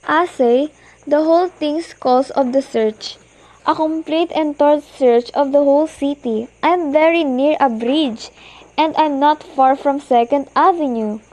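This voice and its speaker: A woman's voice: high voice, unhurried, darkish tone, poor audio. A young woman acting an anxious, demanding bedridden wife in an amateur radio play, pleading insistently and dramatically. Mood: urgent, demanding. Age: teenager.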